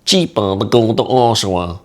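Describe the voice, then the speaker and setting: A man doing a nasal, gentle Vietnamese-accented voice. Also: on the low side, moderate pace, voice pushed up, lightly acted. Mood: coaxing. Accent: Vietnamese-accented English. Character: mock Vietnamese-accented nail salon worker, gentle.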